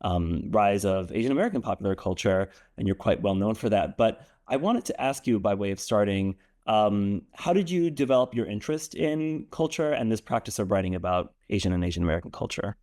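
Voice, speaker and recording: A man hosting an interview, asking questions in a relaxed, conversational way. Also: on the low side, a little fast, hardly any pauses. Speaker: interview host. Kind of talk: casual interview talk.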